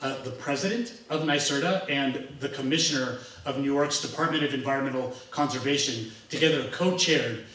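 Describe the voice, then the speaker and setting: A man's voice: mid-range voice, a little fast, few pauses, a bit bright. A man on a panel in a library, introducing his public service work in an earnest, formal way with many ums. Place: large library room. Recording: roomy hall from far off.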